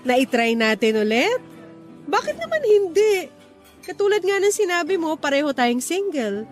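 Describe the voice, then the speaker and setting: A woman's voice: extremely high pitch, very animated, normal speed, soft background music. An actress playing an independent, frank young single woman in radio romance drama, speaking conversational Taglish in a reflective, assertive way. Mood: cautious.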